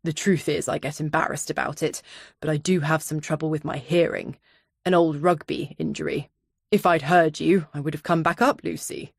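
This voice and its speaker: A woman's voice: low-pitched, steady tone, moderate pace, gravelly, slightly rough, no room sound, studio quality, exaggerated voice. A woman voicing a big, casual, apologetic man in an audiobook, with a placatory, offhand manner. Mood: sheepish, casual.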